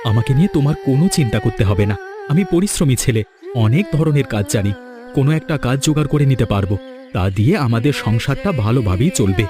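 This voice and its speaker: A man's voice: mid-range voice, lively intonation, brisk, music in the background, close to an everyday voice. A man voicing an earnest, devoted young husband and son in a fairy-tale cartoon. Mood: reassuring.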